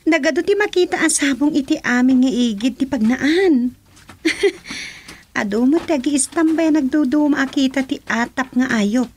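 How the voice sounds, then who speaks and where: A woman's voice: sits high, moderate pace, a little mellow, close to an everyday voice. A woman playing a wide-eyed young visitor to a spirit realm in a radio drama, full of wonder and questions. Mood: delighted.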